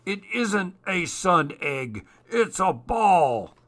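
A man voicing a lively, cheeky young male woodland character in a storybook, eager and exclaiming. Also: fairly high pitch, slow, voice pushed up, exaggerated voice. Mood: gleeful.

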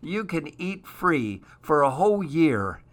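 A man's voice: fairly high pitch, big pitch swings, slow, voice pushed up. A man voicing a jolly, giggle-prone female frog in a storybook. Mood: bargaining.

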